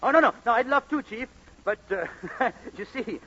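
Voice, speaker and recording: Husky-voiced flustered newlywed husband. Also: male voice, very high-pitched, lively intonation, moderate pace, a bit bright, full character voice. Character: suave newlywed husband, evasive and flustered when cornered. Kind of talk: 1940s radio comedy acting. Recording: muffled vintage radio broadcast.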